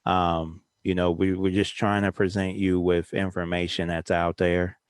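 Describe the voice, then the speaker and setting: A man's voice: sits low, little pitch movement, unhurried, clean tone, dry recording, clean studio sound. A man talking casually in a discussion, informal and conciliatory in manner.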